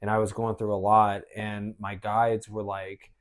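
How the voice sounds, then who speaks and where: A man's voice: on the low side, little pitch movement, measured pace, clear, resonant tone. A man with an American accent, hosting a podcast and telling personal stories in casual, conversational speech. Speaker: podcast host. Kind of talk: casual chat, personal storytelling.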